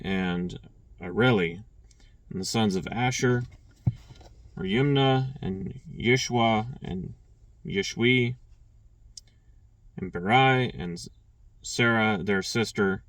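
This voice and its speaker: Slow, low-voiced man reading scripture. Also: dry recording, far from the mic. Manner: stumbles and restarts on names, clears throat. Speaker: scripture reader.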